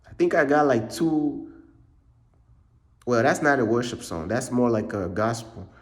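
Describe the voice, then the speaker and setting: A man's voice: mid-range voice, expressive, unhurried. Slangy young man from Uganda. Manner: slangy, repetitive, peppers speech with bro. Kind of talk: casual vlog monologue.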